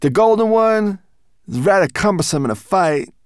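A high, pushy furry creature. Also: male voice, expressive, moderate pace, clean tone, no room sound, studio quality. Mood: offhand. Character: gruff furry male mountain creature, brusque.

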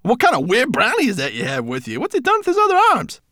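A man voicing a scornful four-armed male brownie with a high, fast, mocking voice in an audiobook. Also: wildly swooping pitch, bright, forceful tone, no room sound, studio quality, exaggerated voice. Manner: high cackling sneer, fast.

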